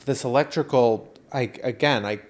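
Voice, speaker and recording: A man with a mid-pitched voice chats as a podcast co-host. He tells comedic stories in an animated, dramatic manner, voicing people's reactions as he goes. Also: normal speed. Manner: animated, dramatic storyteller who acts out reactions and quotes. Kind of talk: casual comedic storytelling chat.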